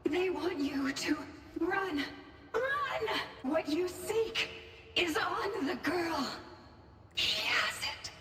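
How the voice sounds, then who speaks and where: A woman's voice: very high voice, sing-song, extremely slow, reverberant, very noisy, heavily acted. A woman playing a desperate apparition who gives urgent, ominous warnings in a game cutscene. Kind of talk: dramatic game cutscene acting.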